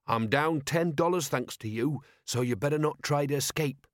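A man's voice: a little high, normal speed, no room sound, studio quality, pitched above the performer's own voice, close to an everyday voice. A man voicing a sour, aggrieved zombie police constable in an audiobook, grumbling and complaining as he talks. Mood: mean. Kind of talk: audiobook character voice.

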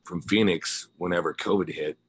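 Deep-voiced American man, casual and rambling. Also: fairly even intonation, normal speed, breathy edge. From Ozarks, United States. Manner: rambling, informal, slangy. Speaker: interview guest, rock musician. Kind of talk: casual interview chat.

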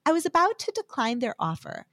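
A woman's voice: high-pitched, wildly swooping pitch, brisk, a bit bright, no room sound. An American woman giving a heartfelt, scripted devotional talk that she tells as a personal story. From United States.

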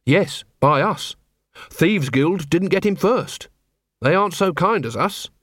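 A man's voice: high-pitched, lively intonation, fairly bright tone, no room sound, studio quality, pitched above the performer's own voice, heavily acted. Man voicing an earnest, cheerful young constable. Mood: matter-of-fact. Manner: polite, mild, unhurried.

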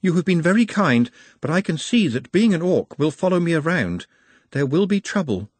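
A gentle storybook orc voiced by a male narrator, formal and apologetic. He sounds sorrowful and polite, with a husky voice in a dry recording. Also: a little high, very animated, moderate pace, no echo, pitched above the performer's own voice.

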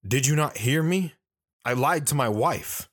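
A man's voice: mid-range voice, lively intonation, a little slow, fairly bright tone, no room sound, studio quality. A man playing an earnest, guilt-ridden soldier, narrating his hard life in a sober, plain-spoken way for an audio drama. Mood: regretful. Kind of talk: dramatic first-person narration.

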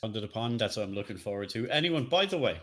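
Low-pitched man chatting as a podcast co-host. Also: animated, quick pace, a bit bright. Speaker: co-host, streamer. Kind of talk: casual podcast chat.

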